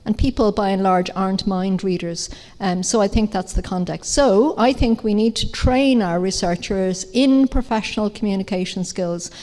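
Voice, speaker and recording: A woman addresses a conference panel at a mid pitch. She is measured and explanatory and pauses now and then. The sound carries the long reverb of a large hall. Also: mellow. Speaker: academic panelist.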